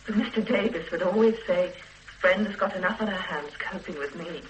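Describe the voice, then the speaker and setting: A woman's voice: fairly low pitch, brisk, roomy sound, recorded from a distance, a little hiss or hum, lightly acted, poor audio. An actress playing a loyal female secretary in a radio drama, guarded and prim, defending her employer under questioning. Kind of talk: 1970s-80s radio drama acting.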